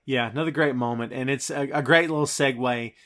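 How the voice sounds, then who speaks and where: A man's voice: medium pitch, brisk, fairly bright tone. Emphatic male podcast host. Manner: emphatic, dramatic storytelling. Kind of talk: film discussion podcast, scene-by-scene breakdown.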